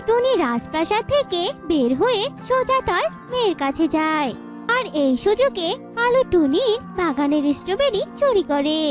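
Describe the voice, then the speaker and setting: Female narrator, high pitch, scripted children's storytelling, cartoon, background music.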